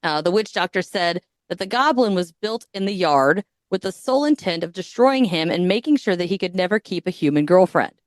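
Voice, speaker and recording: A woman's voice: on the low side, expressive, a little fast, few pauses, no room sound. Woman, podcast host, giggly, crude humor, dry studio sound. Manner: giggly, crude, joking asides. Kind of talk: casual storytelling chat.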